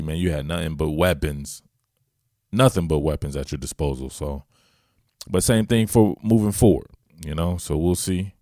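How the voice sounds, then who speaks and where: A man's voice: rumbling low, a little mellow, dry recording, clean studio sound. A man with a Southern US accent hosting casual, slangy football commentary. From southern United States. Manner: slangy, informal, familiar address to listeners. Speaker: host, football analyst. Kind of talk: casual solo sports commentary.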